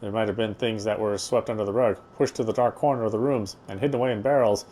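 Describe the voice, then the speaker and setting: A man's voice: lowish voice, steady tone, fast, warm tone. A man narrating a visual novel as he plays it, reading the prose aloud with casual asides.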